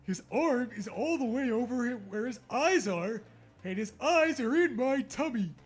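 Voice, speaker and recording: Guy doing a loud high cartoon starfish, way too happy about murder. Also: animated, normal speed, breathy edge, faint music behind, full character voice. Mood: gleeful, gruesome. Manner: loud, gleeful.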